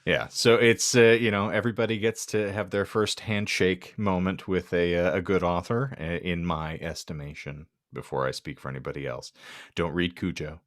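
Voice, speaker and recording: A man's voice: low-pitched, steady tone, normal speed, no room sound. A man co-hosting a casual podcast, talking in wordy, mock-formal phrases with dry, irreverent humour. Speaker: podcast co-host.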